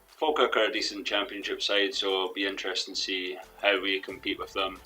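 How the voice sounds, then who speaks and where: A young man commentating his own football management game in a rambling, hesitant way, his voice a little hoarse from losing it. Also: sits low, little pitch movement, brisk, bright, forceful tone, low-quality recording. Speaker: gaming commentator. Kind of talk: let's play commentary. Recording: faint background music.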